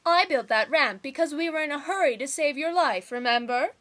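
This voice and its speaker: A woman performs a high-pitched young troll girl in fandub style. She is bossy and theatrical, mocking whoever she talks to with impatient, sarcastic bite. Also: fairly quick, fairly bright tone. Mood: scornful. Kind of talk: amateur fandub audition acting.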